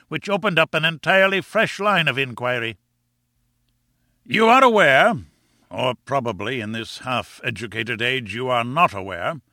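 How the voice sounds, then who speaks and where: Guy doing a pompous bossy professor for an audiobook, booming n condescending, sharp bright voice, really dry sound. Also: dry recording. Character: pompous, booming, insolent male professor, arrogant and domineering. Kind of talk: audiobook character dialogue.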